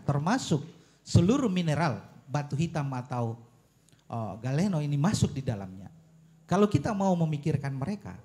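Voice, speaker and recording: A man making an argument at a public forum, his voice fairly high and swinging widely in pitch. He phrases things deliberately and emphatically, often posing pointed rhetorical questions. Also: dark tone. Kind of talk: argumentative forum speech on policy.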